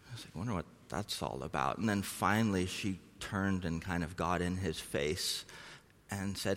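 Man, middle-aged, low-pitched, conversational sermon, informal storytelling, long reverb. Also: fairly bright tone. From Norway and Southeast Asia. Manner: informal, chatty. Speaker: missionary preaching a sermon.

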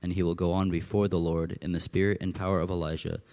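A man's voice: low voice, level tone, fast, a little mellow. A man reading scripture aloud as a reader at church.

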